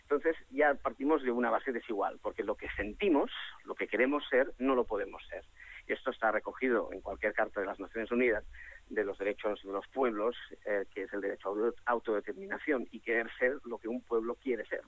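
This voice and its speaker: A young adult man from Catalonia, answering interview questions in an animated, joking way, repeating himself and restarting his sentences. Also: lowish voice, brisk, slightly rough, dry recording, poor audio. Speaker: guest, comedian behind a satirical superhero character. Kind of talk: casual interview talk.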